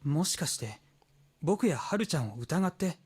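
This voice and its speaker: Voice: mid-range voice, very animated, quick pace, a little mellow, slightly rough. An actor voicing a mature, reserved teenage boy in a Japanese visual novel, speaking with formal, hesitant politeness.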